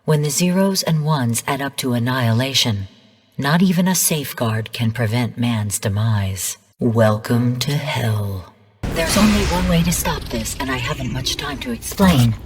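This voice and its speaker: An actress playing a female artificial intelligence in a video game, speaking in a measured, formal, clinical manner. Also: extremely low pitch, animated, slightly rough, faint music behind, heavily acted. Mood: cold, menacing, then urgent, pleading. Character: calm female rogue artificial intelligence mentor turned genocidal overseer. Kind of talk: scripted video game voice lines. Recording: long hall-like reverb.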